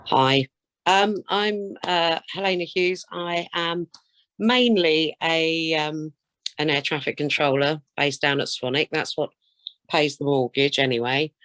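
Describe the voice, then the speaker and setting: A woman's voice: low voice, a little slow, fairly bright tone, no room sound. British woman, dry sound, slight cold. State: getting over a cold. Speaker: air traffic controller, flying instructor and radio examiner. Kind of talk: casual self-introduction and chat.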